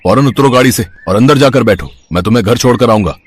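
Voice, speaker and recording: A man playing a stern, reproachful working-class father in a dramatic Hindi cartoon story, with a husky voice. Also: mid-range voice, fast, natural voice. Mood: stern, angry.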